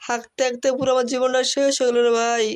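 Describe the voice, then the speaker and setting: A man's voice: very high voice, flat delivery, rapid-fire, clean tone. A man voicing a sick, whining young fellow with a bellyache in a comic cartoon. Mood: miserable, whining. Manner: complaining, whiny. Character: sick whining young male friend with stomach cramps.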